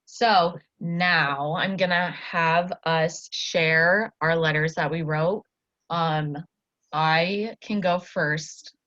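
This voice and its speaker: A woman's voice: sits low, fairly even intonation, extremely slow, fairly bright tone. A woman, a student teacher giving an encouraging one-to-one lesson to a child. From Iowa, United States. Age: early 20s. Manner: encouraging, praising. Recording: dull, echoey lo-fi room recording.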